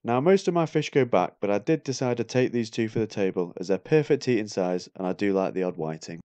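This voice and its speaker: A man's voice: on the low side, animated, brisk, dark tone, no echo. A man, narrating a casual, explanatory voiceover for his video.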